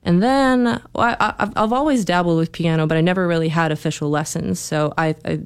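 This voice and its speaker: A woman's voice: fairly low pitch, fairly quick, clear, resonant tone, dry recording, studio quality. A young woman musician being interviewed on the radio, chatting casually with fillers and little laughs. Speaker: guest musician.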